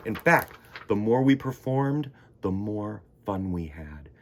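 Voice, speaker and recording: A man reading a children's picture book aloud in the first person, theatrical, sometimes dropping into hushed emphasis. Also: fairly low pitch, expressive, takes it slowly, slight background noise. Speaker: children's storytime reader.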